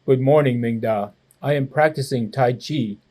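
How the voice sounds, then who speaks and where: A man reading the part of a calm, firm old grandfather who gives measured instructions in a children's storybook. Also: medium pitch, pitched below the performer's own voice, lightly acted. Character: calm, firm old Chinese grandfather and Tai Chi teacher.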